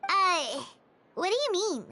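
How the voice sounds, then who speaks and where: Game fairy sidekick: childlike, extremely high, unhurried delivery, excitable and exclamatory. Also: female voice, slight background noise, heavily acted. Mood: teasing. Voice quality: squeaky, childlike. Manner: refers to herself in third person, exclamatory, dramatic. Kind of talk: animated video game voice acting.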